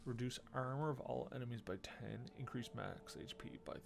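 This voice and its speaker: A man's voice: medium pitch, normal speed, creaky, background noise. A man in his thirties giving casual let's play commentary, thinking aloud in muttered fragments from a small room at home. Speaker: video game streamer. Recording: loud game music behind voice. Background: video game music.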